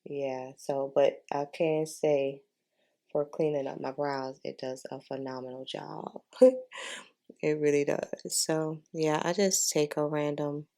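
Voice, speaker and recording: A woman's voice: deep voice, steady tone, takes it slowly, dark tone. A woman talking in a casual, chatty way as a beauty vlogger giving a makeup tutorial, informal and repeating herself for emphasis.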